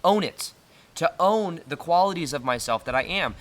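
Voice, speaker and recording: A man with an American accent and a naturally loud voice, talking earnestly in a casual motivational monologue to the camera. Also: fairly high pitch, expressive, normal speed. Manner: earnest, fillers and restarts. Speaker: self-help video host. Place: retreat in Costa Rica.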